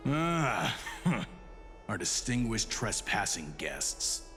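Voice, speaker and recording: Deep, zealous loyal officer. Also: male voice, sing-song, extremely slow, a bit bright, soft background music, exaggerated voice. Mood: proud, menacing. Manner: proud, indignant, declamatory. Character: zealously loyal, gruff male military officer. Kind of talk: video game voice acting.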